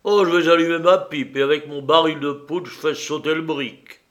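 A fearless old sea captain from an adventure storybook, acted out by a man. He blusters and barks commands, loud and pitched up, with a short temper in every phrase. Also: fairly even intonation, moderate pace, close to an everyday voice. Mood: exasperated, blustering. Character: gruff, hot-tempered old sea-dog ship's captain, fearless, bellowing. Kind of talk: audiobook character voice.

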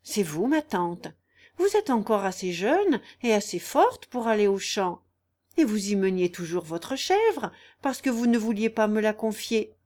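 A woman voicing a humble young peasant woman in a story, speaking plainly with gentle firmness. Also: medium pitch, big pitch swings, measured pace. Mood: calm reasoning. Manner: plain, firm, gently resolute.

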